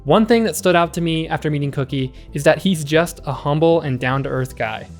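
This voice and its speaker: Young man narrating in a clear, measured voice. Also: fairly high pitch, soft background music. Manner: clear, explanatory. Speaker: host, video narrator. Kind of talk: scripted narration. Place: home studio room with whiteboard. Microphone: studio mic on a boom, close.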